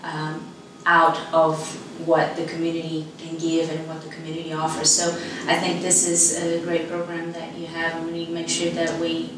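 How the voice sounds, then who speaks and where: A woman in her thirties with a Brazilian accent and Portuguese as her first language talks conversationally. Her voice is slightly low and evenly pitched, picked up at a distance in an interview setting. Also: monotone, normal speed. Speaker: insurance agent. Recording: echoey room, distant mic, clear background noise.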